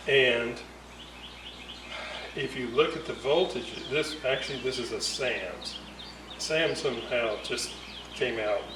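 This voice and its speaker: A man giving a casual, unscripted technical explanation as a hobbyist restorer, thinking aloud hesitantly in broken phrases. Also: mid-range voice. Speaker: hobbyist radio restorer presenting a repair series. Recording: roomy, distant mic, clear ambient noise.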